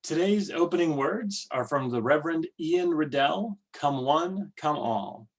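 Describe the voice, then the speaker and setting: A man leading worship, giving welcomes and readings in a measured, deliberate way. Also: on the high side, animated. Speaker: worship leader. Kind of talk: church service welcome and readings.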